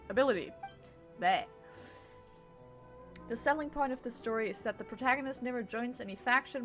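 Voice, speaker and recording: A woman's voice: a little high, a bit bright. A woman game streamer, a non-native English speaker, reading dialogue aloud and commenting playfully. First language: not English. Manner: playful, self-interrupting. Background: video game music.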